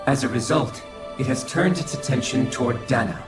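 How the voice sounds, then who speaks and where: A man voicing a coldly logical, formal alien scholar in a video game cutscene, speaking in a measured, clinical way. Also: mid-range voice, close to an everyday voice. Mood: clinical, detached. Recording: echoing voice over game music.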